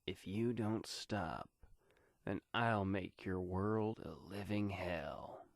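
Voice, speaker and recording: Superhero audio story villain played by a man: smug male crime boss, slow condescending drawl, quietly threatening, dry sound. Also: sits low, gravelly, no room sound, heavily acted.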